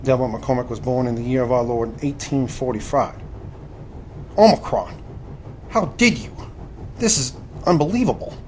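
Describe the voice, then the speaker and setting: Polite young gentleman recruit from the 1870s guy acting, mid pitch, earnest, bit of noise behind. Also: lively intonation, moderate pace, pauses often, close to an everyday voice. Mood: stunned. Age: 20s. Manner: earnest, formally courteous. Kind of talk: audio drama acting.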